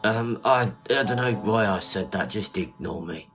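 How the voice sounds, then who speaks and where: A man's voice: on the low side, normal speed, lightly acted. An actor playing a friendly, awkward, plain-spoken man in an audio drama. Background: music.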